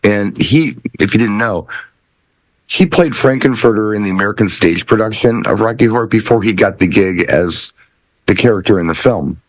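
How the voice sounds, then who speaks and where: Low, expressive man explaining thoughtfully. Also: normal speed, no room sound, poor audio. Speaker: DJ talk show panelist. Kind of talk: casual explanatory chat.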